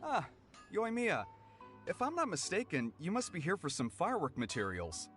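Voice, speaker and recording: A man's voice: high-pitched, huge pitch swings, moderate pace. A man voicing an earnest, easily flustered young apprentice swordsmith in an anime-style video game, polite and deferential. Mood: polite, apologetic. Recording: music behind the voice.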